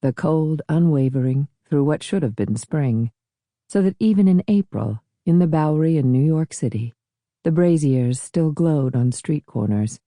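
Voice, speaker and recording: A woman's voice: sits low, expressive, normal speed, dark tone, dry recording, studio quality. A woman reading scripted literary narration for an audiobook.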